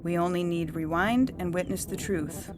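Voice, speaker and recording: A woman's voice: fairly low pitch, normal speed, background noise, lightly acted. A woman playing a coldly official, unyielding judge in a dramatized science fiction story reading, lecturing formally. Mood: lecturing, certain. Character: coldly official female judge, zealous about majority rule. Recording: loud music bed under the voice.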